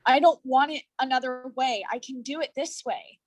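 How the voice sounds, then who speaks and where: Young woman, somewhat high-pitched. An actress playing a guarded, stubborn performer; blunt, defensive, stage drama delivery. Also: moderate pace. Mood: resigned, firm. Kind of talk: staged reading of a drama play.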